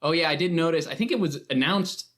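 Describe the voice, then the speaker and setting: A man's voice: a little high, fast, a bit bright. Casual man explaining things in tangents. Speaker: podcast guest. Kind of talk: casual discussion.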